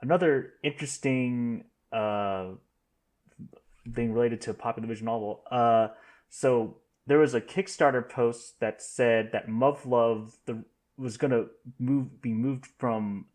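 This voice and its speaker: A man hosting a podcast, casually going through news in a halting way, often correcting himself. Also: medium pitch, darkish tone. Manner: halting, self-correcting delivery. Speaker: podcast host.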